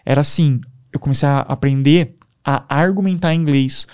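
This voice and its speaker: A man's voice: a little high, normal speed, clean tone. Enthusiastic young Brazilian man. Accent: Brazilian Portuguese. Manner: animated, enthusiastic storyteller. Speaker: podcast guest. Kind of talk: casual storytelling.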